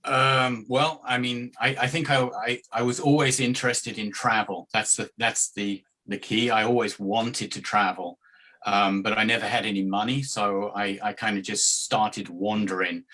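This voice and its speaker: A man's voice: lowish voice, fairly even intonation, moderate pace, sharp tone. A man with a hard-to-place mixed accent, a guest on a podcast, telling his story in a hesitant, rambling way with many restarts. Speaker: guest, travel video maker. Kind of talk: casual podcast interview.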